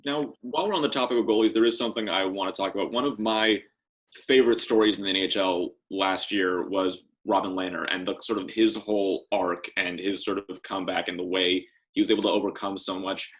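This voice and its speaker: A man's voice: sits low, fairly even intonation, brisk, a bit bright, poor audio. A man co-hosting a sports podcast, putting questions to a guest in a casual interview style.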